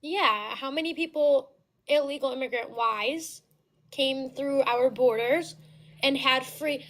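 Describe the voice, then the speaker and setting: A woman in her twenties from the United States, speaking with a very high voice in a casual debate, halting at first, then insistent. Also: fairly bright tone. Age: 20s. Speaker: caller.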